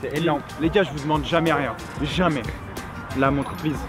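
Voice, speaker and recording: A young footballer bantering excitedly with teammates. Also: male voice, fairly high pitch, normal speed. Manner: lively, bantering, hyped. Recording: loud music outdoors.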